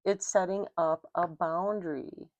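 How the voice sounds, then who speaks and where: An American woman giving an intuitive tarot reading in a calm spiritual manner, her throat rough and scratchy from smoke. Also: on the low side, no echo, muffled recording. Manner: slow, soothing, spiritual. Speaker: tarot reader.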